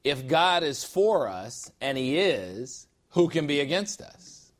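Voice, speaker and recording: A male pastor delivering an expository sermon, leaning hard on important words and drawing them out slowly. His voice rises as he builds toward each point. He also jokes lightly with the congregation. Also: on the high side, sing-song. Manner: emphatic, stretches key words slowly for weight. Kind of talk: expository sermon teaching from scripture.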